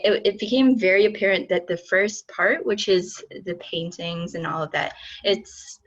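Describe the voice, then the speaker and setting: A woman's voice: medium pitch, normal speed. A woman speaking thoughtfully and a little hesitantly as an artist on a discussion panel. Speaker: artist and exhibit lead on a panel. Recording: dull, muffled audio.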